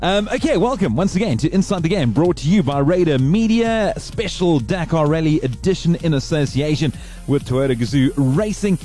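A man's voice: a little high, animated, moderate pace, few pauses, warm tone, faint music behind. A man hosting a podcast in casual chat, rambling and digressing as he talks. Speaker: podcast host.

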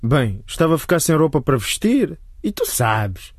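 A man's voice: fairly high pitch, big pitch swings, moderate pace, breathy edge, no room sound, studio quality. A man playing a careless young houseguest in a radio drama, talking in an offhand way. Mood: sheepish. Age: young adult. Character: careless young foreign houseguest, dismissive and apologetic.

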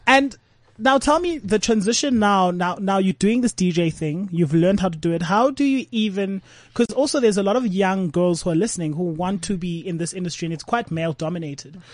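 High-pitched man hosting, dry close sound, upbeat and coaxing. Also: a little fast, dry recording. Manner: hyped, encouraging questioner. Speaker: host. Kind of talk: casual interview.

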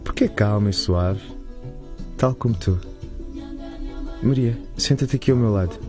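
An actor playing a gentle young man who talks softly and reassuringly in a radio drama. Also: lowish voice, unhurried, over music, close to an everyday voice. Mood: soothing. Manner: soft, coaxing, reassuring.

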